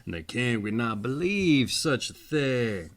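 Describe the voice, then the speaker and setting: Guy reading a storybook out loud, theatrical, really unhurried, pitch moves around a lot. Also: very animated. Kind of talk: expressive fairy tale narration.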